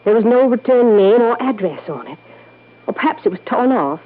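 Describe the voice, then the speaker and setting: A woman's voice: on the high side, sing-song, a little fast. An actress playing a dignified, wealthy middle-aged lady in an old-time radio drama, genteel, composed and confiding. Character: dignified, wealthy Southern lady in her late forties. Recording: tinny, band-limited vintage radio recording.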